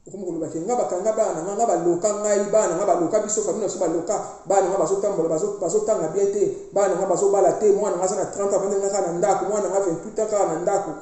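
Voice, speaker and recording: A woman's voice: medium pitch, fairly even intonation, rapid-fire, few pauses, mellow. A woman, preaching as a priestess to her online followers in an emphatic, insistent way. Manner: emphatic, preachy, mixes languages freely. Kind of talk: spiritual exhortation to followers. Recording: very echoey room.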